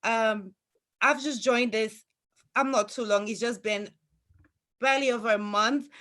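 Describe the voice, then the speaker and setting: Brief: earnest woman guest, bright enthusiasm, slightly halting, higher pitch. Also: steady tone. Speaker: student guest. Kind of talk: testimonial on a video call.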